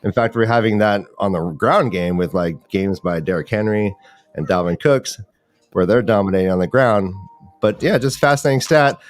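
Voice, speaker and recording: A man's voice: lowish voice, moderate pace, no echo, faint music behind. A man hosting a fantasy football livestream, chatting casually about sports.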